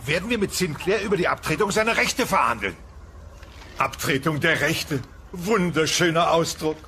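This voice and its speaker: A man's voice: on the high side, expressive, normal speed, pushed and bright, a little hiss or hum. A man playing a greedy, boisterous dinosaur father in a comedy audio play, blustering and gleefully exclaiming. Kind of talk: dubbed comedy radio play acting.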